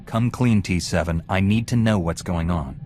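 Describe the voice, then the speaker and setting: Jedi knight hero, played by a man: lowish, dry, level, firm. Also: normal speed, background noise, close to an everyday voice. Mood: steady. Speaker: player character. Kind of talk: video game voice acting.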